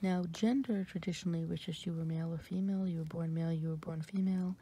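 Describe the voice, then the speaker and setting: A woman from New York with an American accent, softly whispering an ASMR ramble with many fillers and pauses. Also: steady tone, breathy edge. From New York, USA. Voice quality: deep voice for a woman. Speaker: ASMR creator.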